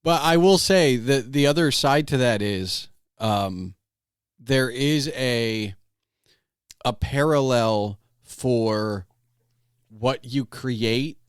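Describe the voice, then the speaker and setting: A man hosting a casual podcast conversation, speaking in a halting, thoughtful way. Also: mid-range voice, clear, resonant tone, no echo, clean studio sound. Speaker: podcast host.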